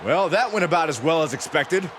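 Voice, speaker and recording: A man's voice: fairly high pitch, lively intonation, brisk, noisy background, lightly acted. A man playing a keen wrestling commentator in a game, delivering scripted sports commentary. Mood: concerned.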